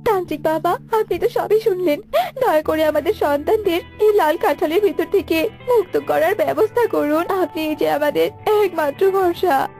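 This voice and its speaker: A woman's voice: very high-pitched, moderate pace, mellow, soft background music. A woman voicing a worried, caring mother bird in a children's fairy-tale cartoon, speaking earnestly and imploringly.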